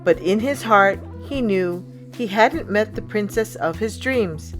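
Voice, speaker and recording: A woman reading a children's picture book aloud as a storyteller, in an expressive, deliberate way. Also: mid-range voice, faint music behind. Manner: deliberate, expressive storybook delivery with acted sound words.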